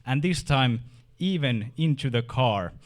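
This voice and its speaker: A man's voice: mid-range voice, expressive, unhurried. A man hosting a game industry meetup, introducing the speaker with many restarts and fillers. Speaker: meetup host.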